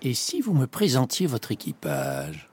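A man's voice: medium pitch, huge pitch swings, a little slow, a little mellow, slight background noise, pitched above the performer's own voice. A man doing a polite, clever sleuth. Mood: inquisitive. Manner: courteous and inquisitive. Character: clever, polite male detective.

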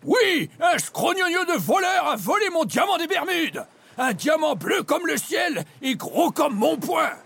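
A bellowing pirate captain, put on by a male storyteller, high and gravelly, his voice swooping wildly as he roars oaths. He is quick to anger and loud. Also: big pitch swings, full character voice. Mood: furious. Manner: shouting, swearing old-fashioned oaths.

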